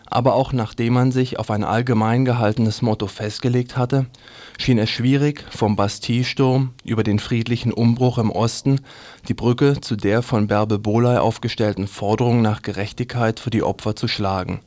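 A man's voice: medium pitch, fairly even intonation, normal speed, a little mellow. A man reading a scripted news commentary as a radio presenter.

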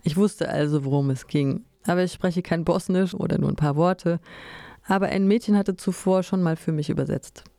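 A woman's voice: fairly low pitch, normal speed, vocal fry, warm tone, no echo. A woman reading a scripted first-person voice-over translation.

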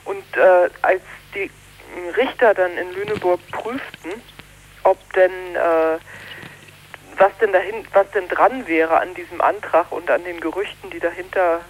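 A woman from northern Germany, a protest organizer, speaking earnestly in a radio news interview and often pausing on fillers and restarting. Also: lowish voice, a little hiss or hum, low-quality recording.

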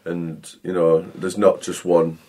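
A man from talks in a very low voice. He is relaxed and dry-humoured as he chats through an interview. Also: slow pace. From North East England. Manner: laid-back, dry humour. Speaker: singer and guitarist. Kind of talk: interview chat.

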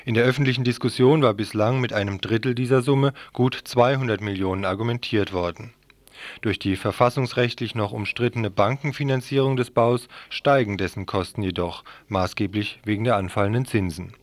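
A male news reader narrates a scripted report. His pitch is somewhat low. The recording is dry and free of room sound. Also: fairly even intonation, fairly quick, no room sound.

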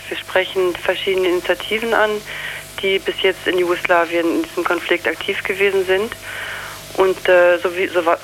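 A spokeswoman explaining in an interview, halting and searching for words. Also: fairly low pitch, fairly bright tone, slight background noise, low-quality recording. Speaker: campaign spokeswoman being interviewed.

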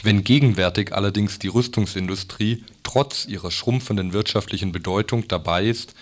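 A man with a fairly low voice reads written passages aloud for a radio report. His delivery is formal and scripted, with pronunciation. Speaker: reader of quotations in a radio report. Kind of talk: formal reading of written excerpts.

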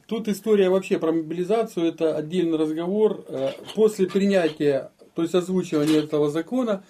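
A man's voice: on the high side, a little fast, dark tone, a little hiss or hum. A man giving an explanatory account of his own experience in a casual interview, repeating phrases for emphasis. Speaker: interviewee, eyewitness.

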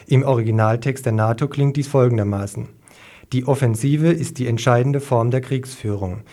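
Mellow-voiced man reading radio news. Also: medium pitch, moderate pace. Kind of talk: scripted news report, read aloud.

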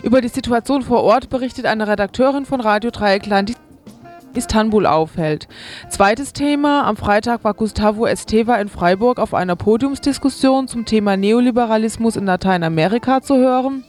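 A woman's voice: on the high side, brisk, darkish tone. Woman presenting radio listings over music. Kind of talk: scripted event listings and programme announcements. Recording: music behind the voice.

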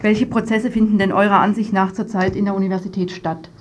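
A woman's voice: mid-range voice, little pitch movement, quick pace. Woman radio interviewer with noisy background hiss. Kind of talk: live studio interview.

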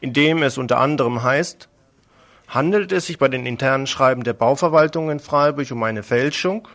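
A man's voice: medium pitch, normal speed, a bit bright. A man presenting radio news, reading a prepared report.

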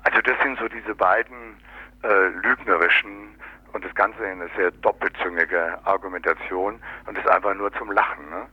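A man's voice: on the low side, fairly even intonation, moderate pace, husky. A man discusses politics critically and off the cuff, sardonic and colloquial, often stopping to search for words and tagging on little question words. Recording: dull lo-fi local radio recording.

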